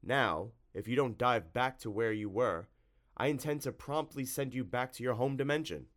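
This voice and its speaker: A man voicing a plucky, quick-thinking young male hero in a storybook, emphatic and cheeky. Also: lowish voice, very animated. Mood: defiant.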